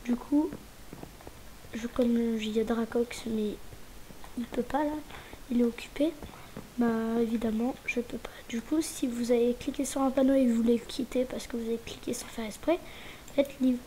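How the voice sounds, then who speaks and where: Woman with a bit of a cold showing a game server, kinda high voice, lots of um and restarts, whispers to herself. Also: fairly even intonation, normal speed, warm tone, slight background noise, poor audio. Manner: hesitant, restarting sentences, muttering whispered asides. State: a little stuffy from a cold. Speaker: gaming channel host. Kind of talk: casual gameplay tour.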